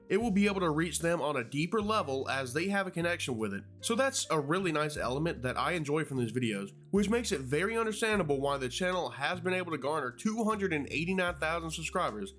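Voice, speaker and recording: Higher-pitched man narrating over music. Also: a bit bright. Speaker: YouTube host. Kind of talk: video essay narration with joking asides.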